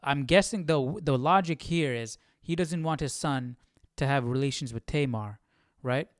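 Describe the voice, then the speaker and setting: A man's voice: medium pitch, normal speed, no echo, clean studio sound. A man hosting a casual live Bible study, reading and puzzling aloud as he repeats phrases.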